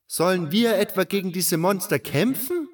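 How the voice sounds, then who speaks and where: Fantasy radio play: doubting male cult follower played by a man, high, swooping voice, calling out from far off. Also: animated, moderate pace. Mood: demanding, agitated. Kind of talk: fan audio drama fantasy acting.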